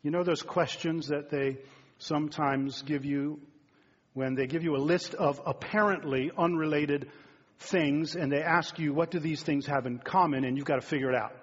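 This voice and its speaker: A man's voice: fairly high pitch, steady tone, normal speed, slightly rough. A man in his fifties preaching a sermon, talking in an earnest, conversational way and turning now and then to speak straight to the congregation. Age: 50s. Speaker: pastor. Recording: dull, muffled sound with long hall reverb.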